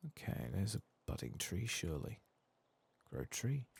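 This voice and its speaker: Male ASMR gaming streamer, soft-spoken and husky, lively intonation, dryly sweary. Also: sits low, measured pace. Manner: dry, sweary asides, reads quest text aloud.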